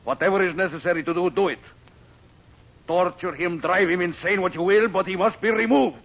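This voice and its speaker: A man's voice: high-pitched, a little fast, gravelly. An actor playing a cold, ruthless male villain who gives orders in a commanding, menacing 1930s radio drama manner. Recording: lo-fi old radio transcription with hiss.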